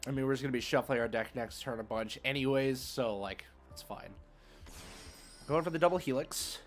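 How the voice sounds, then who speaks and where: A man with a mid-pitched voice commentates his card game live for a stream. He talks in an informal, slangy way and thinks aloud through his moves. Music plays behind his voice. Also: moderate pace.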